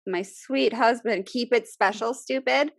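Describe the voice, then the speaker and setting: A woman's voice: fairly high pitch, a little slow, gravelly, dry recording, studio quality. Midwestern woman in her thirties, blunt podcast chat. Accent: American Midwest. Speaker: parenting podcast co-host. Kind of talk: casual chat.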